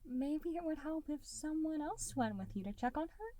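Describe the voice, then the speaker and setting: A gentle female friend who speaks delicately and with tact, as a woman reads her. Her voice has a lively, rising lilt. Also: high-pitched, normal speed, a little hiss or hum, voice pushed up.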